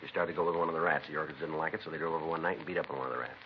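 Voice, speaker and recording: An actor playing a terse, methodical American police detective, narrating casework in a clipped, matter-of-fact 1950s radio crime drama style. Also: male voice, a bass voice, fairly even intonation, racing, a little hiss or hum. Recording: muffled vintage radio recording, faint music.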